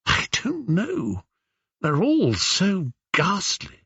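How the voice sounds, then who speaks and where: A man's voice: mid-range voice, big pitch swings, unhurried, husky, no room sound, muffled recording, voice pushed up. A man voicing a gracious, weary queen in a storybook, speaking with formal, regal courtesy. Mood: anguished. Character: gracious, weary, dignified queen, a grown woman.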